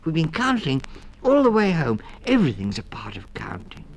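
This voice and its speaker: A man voicing excitable little mouse children in an eager singsong for a children's storybook record. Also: a little high, moderate pace, vocal fry, pitched above the performer's own voice, full character voice, poor audio. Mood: eager, breathless.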